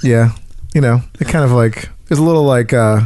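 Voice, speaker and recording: A man's voice: medium pitch, moderate pace, warm tone. A man co-hosting a podcast in casual chat, laid-back and joking. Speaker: podcast co-host.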